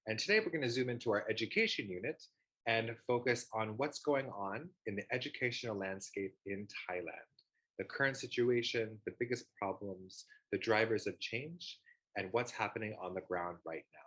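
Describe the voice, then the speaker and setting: A man's voice: lowish voice, normal speed, bright, forceful tone, husky, low-quality recording. A man with an upbeat, enthusiastic manner, hosting an online panel discussion over a video call. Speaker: host, organization founder.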